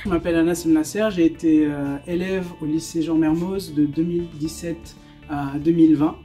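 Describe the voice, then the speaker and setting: A man's voice: a little high, steady tone, normal speed, dark tone, faint music behind. A young adult man, a native Arabic speaker, talking hesitantly with frequent fillers. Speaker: former student giving a testimonial.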